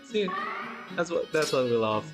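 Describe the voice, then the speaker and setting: A livestream host chatting in an excited, gushing, giggly way while reacting to a game. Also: medium pitch, moderate pace. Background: game music.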